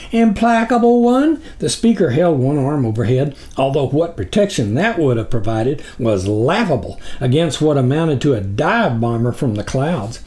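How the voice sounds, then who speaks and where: A man's voice: a little high, a little mellow. A man reading his own book aloud as a dramatic audiobook narrator.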